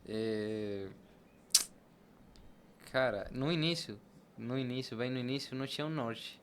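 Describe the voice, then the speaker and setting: A man's voice: medium pitch, a little slow. A man with a Venezuelan accent, a native Spanish speaker, answering conversationally as a podcast guest. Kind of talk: conversational interview answers, personal anecdotes. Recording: clear background noise.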